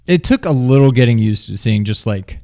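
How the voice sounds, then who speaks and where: A man's voice: mid-range voice, animated, brisk, mellow. Guy co-hosting a film review, just chatting, kinda stop-and-start, regular mid voice. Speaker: movie review co-host. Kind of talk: casual chat, film discussion.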